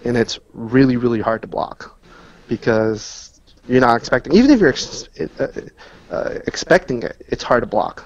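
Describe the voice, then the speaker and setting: A man's voice: medium pitch, animated, normal speed. A man chatting casually as a guest on a gaming podcast, explaining moves in dense player jargon. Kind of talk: casual game analysis chat. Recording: dull lo-fi audio in a roomy space.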